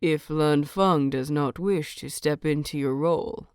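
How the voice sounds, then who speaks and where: A woman plays an older male teacher in a story, formal and composed. The voice is lowish and smooth, with measured, solicitous phrasing in a dry recording. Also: no room sound. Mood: calm concern. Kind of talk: audiobook character dialogue.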